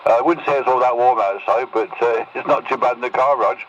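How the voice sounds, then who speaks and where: A man's voice: medium pitch, fast talker, crowd noise. A man chatting casually over CB radio, giving friendly signal reports as an operator. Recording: muffled distant radio with clear noise.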